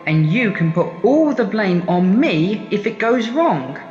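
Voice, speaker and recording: A high, unhurried young man's voice comes slightly muffled through heavy echo. He is a reluctant, indignant prisoner acted by a man, plaintive and protesting in audio-drama style. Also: very animated, a little mellow. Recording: muffled, echoey reverberant chamber, music behind.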